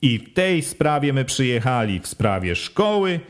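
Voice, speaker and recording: A man voicing a forceful village official who drives home his questions in a raised, insistent voice. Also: medium pitch, very animated, a little slow, voice pushed up. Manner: rising, insistent questioning, loud and sharp. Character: forceful village official, a middle-aged man, pressing and sly.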